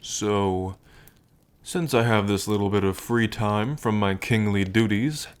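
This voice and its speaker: Guy doing a smitten dragon king for asmr roleplay, kinda deep, really slow and teasing, murmury pauses. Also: smooth, natural voice. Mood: romantic, playful. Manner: unhurried, murmuring pauses, hums and soft chuckles.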